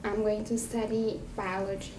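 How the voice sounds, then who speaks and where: A young woman from Ethiopia introducing herself on video in a plain, unhurried way. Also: medium pitch, level tone, echoey room, background noise. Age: young adult. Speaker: graduating senior.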